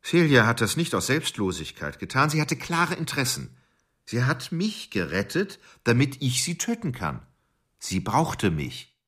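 A man's voice: mid-range voice, wildly swooping pitch, unhurried, fairly bright tone, slightly rough, full character voice. An actor playing a remorseless killer who narrates his crimes in a detached way in a radio play. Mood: cold, detached. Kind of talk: dramatic first-person radio play monologue.

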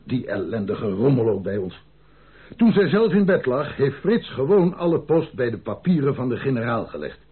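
A man's voice: medium pitch, big pitch swings, moderate pace, breathy edge. An actor playing a frank, loyal army captain in a Dutch radio drama, deferential but emphatic as he speaks. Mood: agitated, explaining. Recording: muffled, band-limited old radio recording.

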